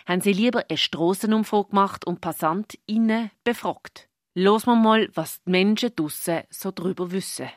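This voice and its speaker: A woman's voice: on the low side, no echo, studio quality. A woman presenting a children's radio program as its host. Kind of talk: radio moderation.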